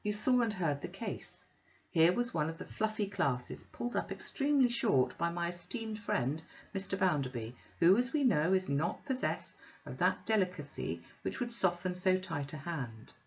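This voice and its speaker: A woman reading a worldly young gentleman's lines in a languid, ironic drawl. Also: fairly low pitch, expressive, moderate pace, highs cut off.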